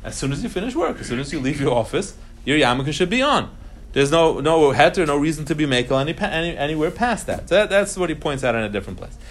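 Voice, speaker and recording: A man's voice: a little high, animated, quick pace, slight background noise. A man teaching an informal class on religious law, explaining emphatically, repeating key phrases and mixing Hebrew terms into his English. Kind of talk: informal lecture to students.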